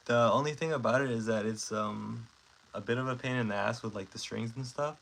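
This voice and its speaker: A man's voice: mid-range voice, fairly quick, creaky, fairly bright tone, slight background noise, poor audio. A man in his twenties hosting a casual livestream, rambling with lots of fillers and self-corrections while chatting with viewers. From Mexico. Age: 20s. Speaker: stop-motion creator hosting a livestream. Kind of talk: casual livestream chat, reading comments.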